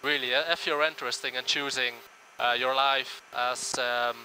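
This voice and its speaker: A man's voice: mid-range voice, moderate pace, poor audio. A man in his early twenties, an airline first officer talking informally and earnestly to camera in a hesitant way. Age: early 20s. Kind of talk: informal talk to camera about his job. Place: small airliner cockpit in flight. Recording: steady engine hum in cockpit.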